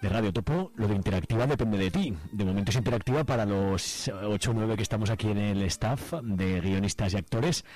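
A man's voice: lowish voice, a little fast, a little mellow, faint music behind. A man with a Spanish accent informally addressing listeners as a radio show host.

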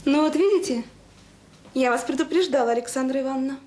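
An actress playing a fussy, officious woman on the staff of a children's home in a radio drama, brisk in manner. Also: high voice, a little mellow, slight background noise, natural voice. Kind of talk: naturalistic radio drama acting.

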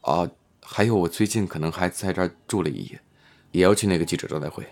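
A man's voice: low voice, quick pace, darkish tone. A man playing a modest, considerate male minor actor in an audio drama, speaking politely and mildly, a little hesitant. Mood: gentle.

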